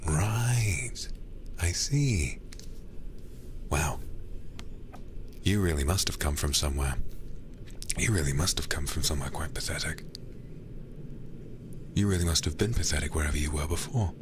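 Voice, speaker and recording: A man playing a mocking, menacing demon lord in an ASMR roleplay, breathy and condescending, often dropping into a whisper. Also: very deep voice, noisy background. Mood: scornful, condescending. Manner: breathy whispering, condescending, deliberate drawn-out phrasing.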